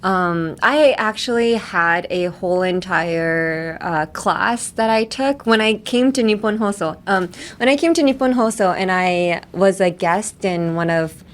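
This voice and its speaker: A woman's voice: on the low side, unhurried, hardly any pauses. A woman hosting a casual language-lesson podcast, talking in a chatty, rambling way with tangents. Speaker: podcast host teaching phrases.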